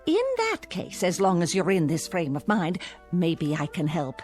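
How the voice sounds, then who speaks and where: Kindly, low-voiced landlady. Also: very animated, measured pace, faint music behind. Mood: kind, encouraging. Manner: gentle, encouraging. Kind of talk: radio drama acting.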